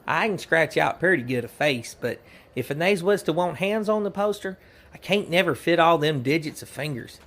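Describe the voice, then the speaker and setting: An actor playing a cheerful, simple-minded young outlaw sidekick who rambles guilelessly in a folksy rural American Western drawl, in a comedy audio drama. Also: male voice, a little high, lively intonation, normal speed, a bit bright. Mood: chirpy, musing.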